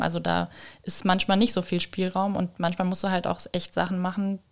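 A woman's voice: fairly low pitch, vocal fry. A woman speaking as an interview guest in casual, informal chat, tentatively qualifying what she says. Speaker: podcast guest, freelance designer. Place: kitchen.

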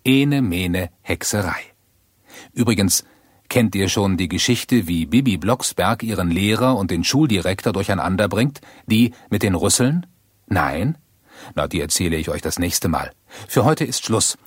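An actor playing a kindly, chatty, easily flustered storyteller in a children's radio play, with a husky voice. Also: male voice, on the low side, big pitch swings, unhurried, no echo, clean studio sound, exaggerated voice. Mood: cosy, chatty.

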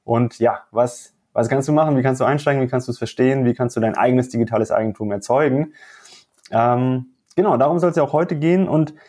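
A man speaks as a podcast guest, his nose a little stuffy. He explains things eagerly and repeats phrases for emphasis, in a mellow, warm voice. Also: mid-range voice, brisk. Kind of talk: casual podcast chat.

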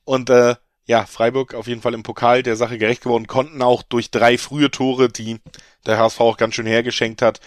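A man co-hosting a football betting podcast, slightly hoarse from a cold, talking in a rambling, emphatic way and often correcting himself. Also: mid-range voice, little pitch movement, a little fast, dry recording, studio quality. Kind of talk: casual football analysis chat.

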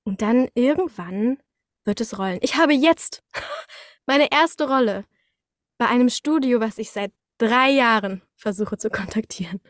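A young woman with a fairly high voice talks casually as an interview guest. She tells things expressively, slipping into direct speech, and the recording is close and dry. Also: a little slow, no echo. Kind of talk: casual podcast conversation.

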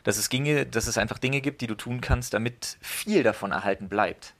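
A man's voice: medium pitch, normal speed, fairly bright tone. A man co-hosting a casual podcast chat, talking bluntly with some swearing and acting out the things people say to him. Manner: blunt, swears freely, acts out quoted exchanges. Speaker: podcast co-host.

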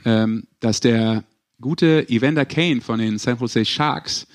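A man's voice: mid-range voice, takes it slowly. Chatty man hosting casual sports talk. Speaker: podcast host.

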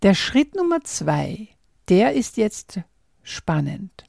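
A woman hosting a language-learning podcast, calmly walking the listener through a method step by step and speaking to them directly. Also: medium pitch, slow pace, gravelly, dry recording, clean studio sound. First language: German. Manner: calm and explanatory, walks through numbered steps.

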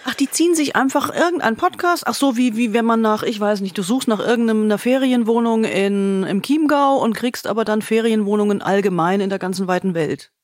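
A woman's voice: a little high, brisk, hardly any pauses, no room sound. Exclamatory woman chatting in a dry studio. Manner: exclamatory, acts out imagined quotes. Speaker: podcast co-host and reviewer. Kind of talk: casual podcast chat.

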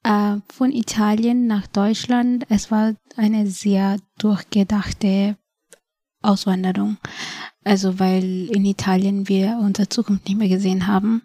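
A woman in her twenties from Nepal, whose first language is Nepali, tells her own story in a podcast studio. She speaks thoughtfully and hesitantly, with many pauses and fillers. Her voice is mellow and the sound is dry. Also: mid-range voice, little pitch movement, no echo. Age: 20s. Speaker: podcast guest. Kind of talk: personal story in an interview.

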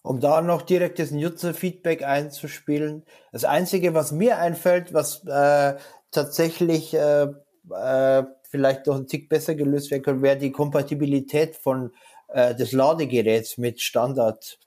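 A man's voice: fairly high pitch, fairly even intonation, unhurried, dark tone. A man hosting a podcast interview in a casual, chatty way with loosely phrased, hesitant questions.

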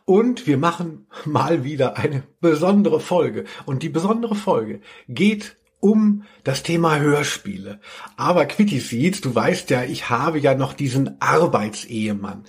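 A man's voice: a little high, lively intonation, a little slow, creaky, breathy edge. A man co-hosting a casual comedic podcast in a playful, tongue-in-cheek way. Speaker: podcast co-host.

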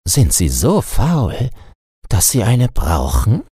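A man's voice: lowish voice, huge pitch swings, takes it slowly, dark tone, breathy edge, no room sound, clean studio sound, voice pushed up, exaggerated voice. A man voicing a cheeky, pompous raven in a storybook, impudent and self-satisfied.

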